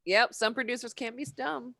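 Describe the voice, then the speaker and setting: A woman's voice: fairly high pitch, steady tone, moderate pace, vocal fry, a bit bright. A woman playing a sassy, teasing celebrity panelist bantering in an improvised game show comedy.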